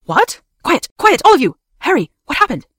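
Man as a high-pitched, commanding witch professor. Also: wildly swooping pitch, normal speed, dry recording, clean studio sound, heavily acted. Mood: alarmed. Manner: sharp, commanding. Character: stern, alarmed older witch professor, commanding woman. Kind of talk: dramatic audiobook character voice.

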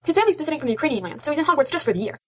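A woman's voice: high-pitched, big pitch swings, rapid-fire. A woman playing a matter-of-fact teenage witch student in an audiobook. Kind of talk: audiobook character acting.

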